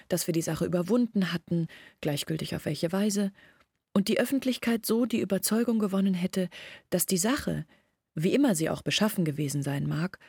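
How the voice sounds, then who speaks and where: An actress playing a sorrowful young village woman in a radio play, speaking in a hushed, deliberate, brooding way. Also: fairly low pitch, breathy edge, dry recording, clean studio sound. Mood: grave, explaining. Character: sorrowful young village woman recounting her family's disgrace. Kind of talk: radio play monologue, intimate recounting.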